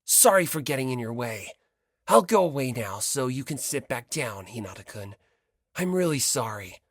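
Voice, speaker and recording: A man's voice: mid-range voice, sing-song, normal speed, dry recording, clean studio sound. A man voicing a cheerful, self-deprecating teenage boy who needles with frivolous, insincere politeness in an audiobook. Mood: insincerely apologetic.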